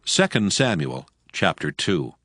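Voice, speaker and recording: A man narrating scripture from a script in a solemn, measured storytelling manner. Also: low voice, very animated, a bit bright, a little hiss or hum. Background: music.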